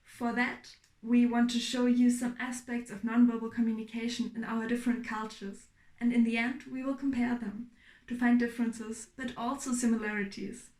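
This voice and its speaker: A woman's voice: fairly high pitch, little pitch movement, a little mellow. Young woman from Germany, echoey room. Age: young adult. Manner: clear, explanatory, measured with fillers. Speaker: student presenter. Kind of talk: scripted class presentation.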